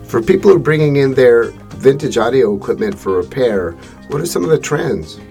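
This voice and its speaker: A man hosting a podcast, reading a measured scripted introduction and then asking his guest questions. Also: medium pitch, animated, vocal fry, warm tone, faint music behind. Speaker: podcast host.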